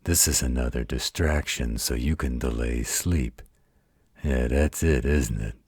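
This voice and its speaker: Man voicing a very deep, husky werewolf protector. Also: darkish tone, no room sound, studio quality, full character voice. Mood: flustered, teasing. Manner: slow, coaxing, patient, mock-stern. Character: gruff, protective werewolf guardian, fondly exasperated parental figure. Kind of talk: intimate bedtime roleplay audio.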